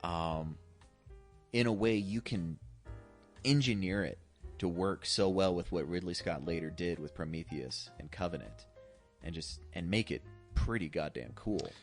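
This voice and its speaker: A man with a deep, low-set voice holds a casual film discussion as a co-host. He is effusive and sweary, like an avid fan. Faint music plays behind him. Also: measured pace. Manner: effusive, profane fan talk. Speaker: podcast co-host.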